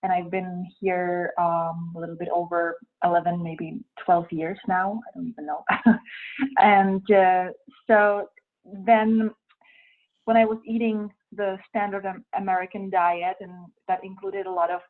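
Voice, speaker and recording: A woman's voice: lowish voice, fairly even intonation, unhurried. A young woman with a Czech accent answering interview questions, hesitant and thoughtful, with many ums and uhs. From Czech Republic. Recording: tinny phone-like sound.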